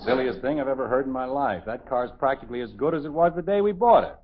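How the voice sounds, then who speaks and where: A man's voice: medium pitch, expressive, fast talker, a little hiss or hum, natural voice. An actor playing an exasperated, dryly sarcastic middle-aged suburban father in a 1950s radio sitcom. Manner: dry, weary sarcasm with bursts of irritation. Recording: thin, lo-fi 1950s radio recording.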